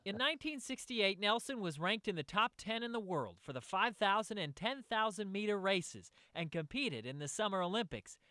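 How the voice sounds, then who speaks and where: Male news reporter, American, scripted narration, crisp bright tone, dry booth sound. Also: sits high, big pitch swings, moderate pace, few pauses, no echo.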